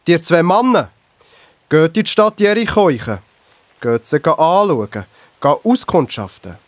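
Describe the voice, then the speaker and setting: Man acting Joshua, a slow, animated Swiss German leader. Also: mid-range voice, no echo. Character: Joshua, faithful, encouraging male leader of a biblical people. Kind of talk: children's Bible audio drama acting.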